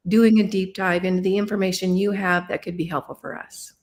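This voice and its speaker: A woman's voice: medium pitch, little pitch movement, a little fast, background noise. Woman host of a live interview show, friendly and conversational. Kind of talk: livestream interview.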